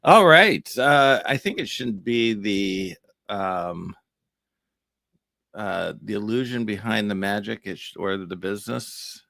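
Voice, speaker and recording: A man's voice: on the low side, lively intonation, slow pace, gravelly, pushed and bright, no echo. A middle-aged man co-hosting a casual chat, laid-back and rambling. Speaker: magician and co-host. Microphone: studio mic.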